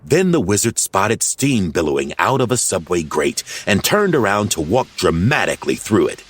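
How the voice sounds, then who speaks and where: A man narrating a children's storybook in an animated, expressive way. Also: sits low, moderate pace, no echo. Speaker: audiobook narrator.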